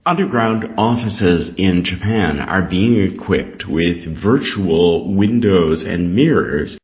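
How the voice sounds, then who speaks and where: A Canadian man reading scripted test material aloud in a deliberate, instructional way. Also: low voice. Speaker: reader of an English exam reading passage. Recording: dull, lo-fi sound.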